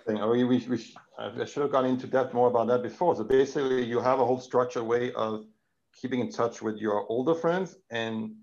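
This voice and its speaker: A man's voice: medium pitch, fairly quick, darkish tone. A man from Denmark, a native Danish speaker, hosts a casual interview. He hesitates and restarts often, then sums up what his guest has said. The sound is muffled and dull. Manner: hesitant, many fillers and restarts, summarizes guest's points. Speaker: interview host.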